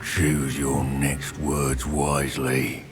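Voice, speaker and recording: An actor playing a menacing goblin rebel leader in a video game, speaking with cold command and deliberate threat. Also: male voice, extremely low pitch, flat delivery, over music, exaggerated voice. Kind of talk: video game cutscene acting.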